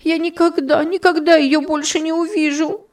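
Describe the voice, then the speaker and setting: A woman's voice: high voice, fairly even intonation, a little slow, vocal fry, warm tone, slightly rough, distant mic, voice pushed up, exaggerated voice. A woman voicing a grief-stricken young girl who wails and pleads through tears. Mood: despairing. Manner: wailing, tearful.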